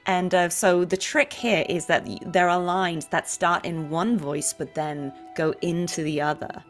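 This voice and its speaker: A woman hosting a casual, chatty interview as a voice actor, talking in an encouraging, expressive way. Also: lowish voice, unhurried, soft background music.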